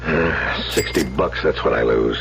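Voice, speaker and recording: A man's voice: very deep voice, expressive, unhurried, gravelly, fairly bright tone, full character voice. An actor playing a troubled, sardonic man near sixty, a prickly therapy patient in an old-time radio drama. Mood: sardonic, testy. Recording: muffled, hissy old radio broadcast recording.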